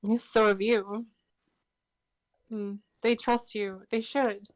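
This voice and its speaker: A woman's voice: mid-range voice, level tone, slow, no room sound, poor audio. A woman reading a part in a casual group script read, with playful, slangy asides.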